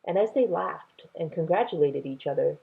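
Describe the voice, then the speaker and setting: A woman reading a children's book aloud expressively, with dramatic pauses and emphasis. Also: on the low side, lively intonation, creaky, breathy edge. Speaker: story reader. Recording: tinny, phone-like narrow sound.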